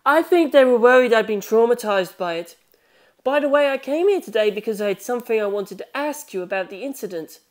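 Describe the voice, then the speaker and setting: A woman's voice: fairly high pitch, expressive, brisk, darkish tone, voice pushed up, natural voice. A woman voicing a polite, earnest schoolboy in an audiobook, with a hesitant, courteous manner.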